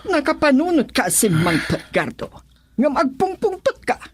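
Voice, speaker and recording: A woman's voice: high-pitched, very animated, normal speed, noisy background. An actress in a radio drama playing an anxious, reproachful mother who frets and remonstrates.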